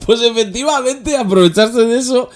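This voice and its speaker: A man's voice: very high-pitched, moderate pace. A male podcast host with a Spanish accent from Spain talks politics casually. His delivery is wry and joking, and he often stops and restarts as he works toward a sarcastic point.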